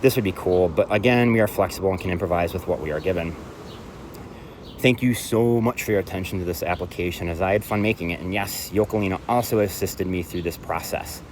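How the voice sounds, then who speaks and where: A man, a composer and performance artist, reading a festival application aloud in an earnest, measured way, now and then correcting himself. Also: low-pitched, a little mellow, slight background noise.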